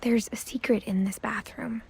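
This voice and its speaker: An actress narrating a video game as a wistful young woman recalling her family's past, soft and often close to a whisper. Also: fairly high pitch, fairly even intonation, moderate pace, background noise, natural voice. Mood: reflective. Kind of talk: scripted narration. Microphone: studio voice-over.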